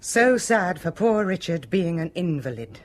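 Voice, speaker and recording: An actress with a British accent playing a bitter, fatalistic wife in a radio drama, guarded and weary. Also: female voice, lowish voice, expressive, unhurried. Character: brittle, bitter, fatalistic wife of a cruel husband.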